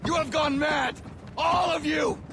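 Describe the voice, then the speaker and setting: An actor playing an enraged male prisoner in a video game, shouting curses at his captor. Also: extremely high pitch, steady tone, measured pace, gravelly, noisy background, exaggerated voice. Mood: furious. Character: furious bound male prisoner. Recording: game cutscene under loud score.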